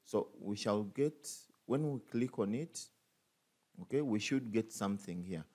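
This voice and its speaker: Low, mellow man talking through code. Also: lively intonation, measured pace. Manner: thinks aloud, many fillers and restarts. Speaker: programming tutor. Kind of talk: screen-recorded coding tutorial.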